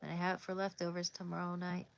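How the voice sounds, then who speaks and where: A woman's voice: fairly low pitch, fast, gravelly. Chatty woman from Oregon livestreaming. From Oregon, USA. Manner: relaxed, chatty, answers viewers by name. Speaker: livestream host. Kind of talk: casual live chat reading comments.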